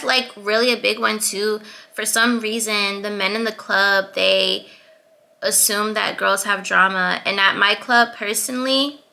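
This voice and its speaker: A young woman with a sweet, high-pitched voice giving casual, assertive advice to her vlog viewers, laughing now and then. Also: creaky, pushed and bright. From Atlanta, US. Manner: assertive, chatty, laughs between points. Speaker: YouTuber.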